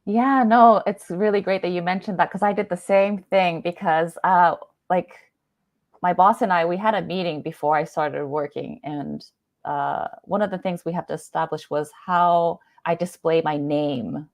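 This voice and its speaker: A chatty woman from Canada, guest on a talk show, with a lowish voice and a dry, close sound. She speaks casually and laughs easily, filling her sentences with little "like"s and "you know"s. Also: normal speed, no room sound. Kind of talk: casual conversation.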